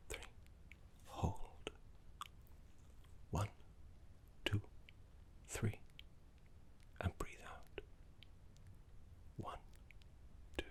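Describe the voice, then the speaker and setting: A man voicing a gentle, courteous, scholarly older necromancer teacher in a soft-spoken, encouraging ASMR roleplay, with a breathy whisper. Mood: soothing, encouraging. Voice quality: breathy, creaky low whisper. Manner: soft-spoken, genteel, encouraging, slightly fussy.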